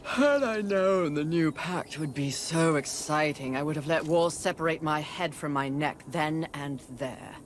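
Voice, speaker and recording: A woman's voice: deep voice, measured pace, hardly any pauses, a little hiss or hum. An actress playing an arrogant, impatient and battle-hungry horsewoman of the apocalypse in a video game, with sardonic delivery. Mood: bored. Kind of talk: video game cutscene acting.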